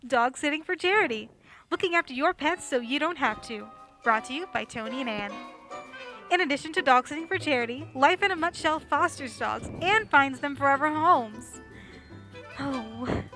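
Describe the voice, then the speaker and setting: High, bright woman announcer. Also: normal speed, soft background music. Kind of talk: scripted sponsor announcement.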